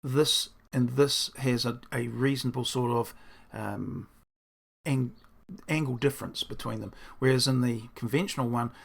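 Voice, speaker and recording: Croaky-voiced man explaining his build. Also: medium pitch, normal speed. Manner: rambling, thinking aloud, self-deprecating. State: croaky, hoarse. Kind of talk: casual diary-style explanation.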